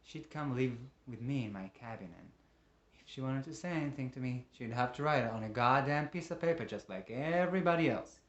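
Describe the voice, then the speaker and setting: A man performing a dramatic monologue as a lonely, embittered father, emotive and moving between hushed and agitated. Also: medium pitch, animated, a little fast, muffled recording, close to an everyday voice. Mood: longing. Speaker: monologue actor. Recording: roomy, echoing room.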